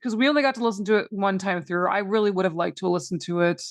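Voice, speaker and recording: A woman's voice: mid-range voice, fast talker, no echo. A woman co-hosting a podcast, talking casually and thinking aloud in a questioning way. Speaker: podcast co-host. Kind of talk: casual discussion, summarising a plot.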